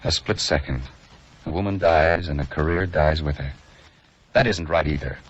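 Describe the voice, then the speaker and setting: An actor playing an earnest, principled young surgeon in an old radio drama. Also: male voice, rumbling low, normal speed, slightly rough. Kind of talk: 1940s radio acting. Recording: dull old radio recording.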